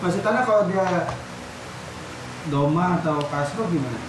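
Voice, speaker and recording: A man's voice: fairly high pitch, normal speed, background noise. A man speaking as a student in a class, casually and informally putting questions to his teacher. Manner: informal, querying. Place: small study gathering in a private home. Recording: echoey room, loud group chatter, off-mic.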